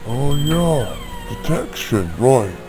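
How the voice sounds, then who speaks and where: A man's voice: on the high side, huge pitch swings, takes it slowly, full character voice. A man playing a casual, curious, slightly hesitant shopkeeper in an amateur audio drama. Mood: puzzled. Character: casual, curious shopkeeper handing over odd supplies. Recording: basic home recording, loud music behind.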